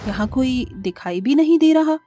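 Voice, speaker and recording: A woman voicing a sweet, naive and eager young college girl in an animated moral story, earnest and exclaiming. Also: high-pitched, normal speed, faint music behind. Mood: delighted.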